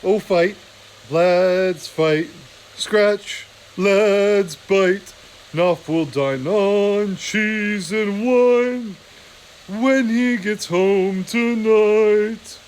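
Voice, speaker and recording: A merry little thief of a woodland creature gloats in a slow sing-song chant, somewhat higher and louder than the storyteller's own voice, as a man puts him on. The storybook rogue drags out every line with relish. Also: a little hiss or hum. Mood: gleeful. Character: cheeky thieving male woodland creature, merry.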